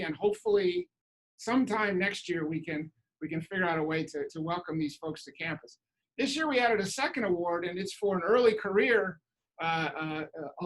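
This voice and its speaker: A man hosting an awards ceremony over a video call, speaking informally with halting, hesitant phrasing. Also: high-pitched, poor audio. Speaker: academic department head hosting awards. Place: indoors, virtual event on video call.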